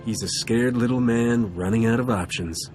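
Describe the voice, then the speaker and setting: An actor voicing a cocky, tough smuggler captain in a video game, brash and dryly threatening. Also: male voice, medium pitch, moderate pace, darkish tone, faint music behind, close to an everyday voice. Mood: confident. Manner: brash, threatening, dry wisecracks.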